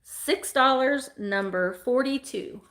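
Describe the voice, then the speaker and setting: A woman's voice: high-pitched, takes it slowly, a bit bright. A woman in her forties hosting a live vintage sale from home, chatting and joking as she shows items. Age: 40s. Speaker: online vintage seller.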